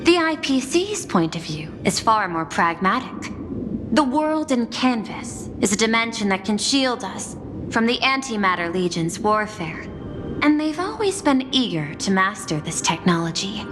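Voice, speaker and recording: A woman playing a poised, persuasive executive in a video game, formal and measured, with grand confidence. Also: mid-range voice, sing-song. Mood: businesslike, persuasive. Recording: long reverb, music behind.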